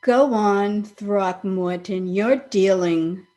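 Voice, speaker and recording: A woman's voice: mid-range voice, very slow, dark tone. A woman playing a wry, proud sweetheart in an old-time radio comedy, with a dry, pointed delivery. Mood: wry, hurt. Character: wry, proud woman being jilted, quietly cutting.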